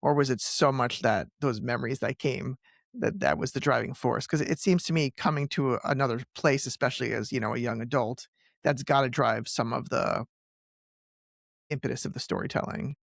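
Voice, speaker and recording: A man's voice: mid-range voice, moderate pace, gravelly, fairly bright tone, dry recording, clean studio sound. A male podcast host who asks long, rambling interview questions and often restarts them.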